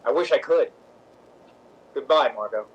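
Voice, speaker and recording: A man's voice: mid-range voice, unhurried, slightly rough, highs cut off. A man playing a weary, frank boyfriend who is firm and dryly pleading, in a radio drama. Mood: weary, resigned. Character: weary, frank, loving boyfriend of a temperamental actress. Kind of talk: radio drama acting in 1950s style.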